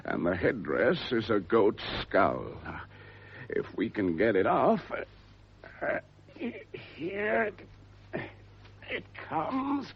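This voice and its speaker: An actor playing an authoritative, excitable gentleman investigator with an RP English accent in a radio drama. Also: medium pitch, extremely slow, long pauses, muffled recording, slight background noise, heavily acted. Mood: fascinated.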